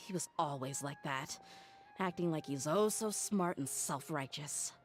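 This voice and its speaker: A woman's voice: sits low, a little slow, slight background noise. An actress playing a tough female mercenary in a game, blunt and exasperated. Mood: scornful.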